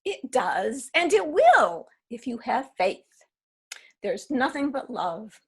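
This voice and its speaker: A woman's voice: fairly high pitch, sing-song, takes it slowly, breathy edge, heavily acted. A woman reading an aging woman who still pines for a lost love, in an earnest, wistful manner. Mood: fervent. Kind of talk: readers theatre reading.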